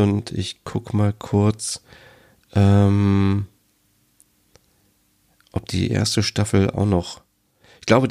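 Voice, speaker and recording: A man's voice: fairly low pitch, darkish tone. A man with a cold, hosting a casual solo podcast and filling gaps with many ums. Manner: hesitant, many ums and uhs. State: has a cold. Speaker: podcast host.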